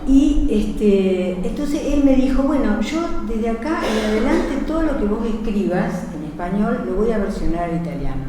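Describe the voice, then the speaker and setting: A woman's voice: a little high, animated, moderate pace, few pauses, noisy background. A woman poet with an Argentine Spanish accent, telling stories at a book presentation in an expansive, self-correcting way. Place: event hall. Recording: echoey hall from far off.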